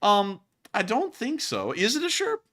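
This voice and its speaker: Lively, bright-voiced male commentator. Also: high-pitched, a little slow. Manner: animated, talks straight to viewers, incredulous asides. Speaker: host of a war news commentary show. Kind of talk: casual commentary over video clips.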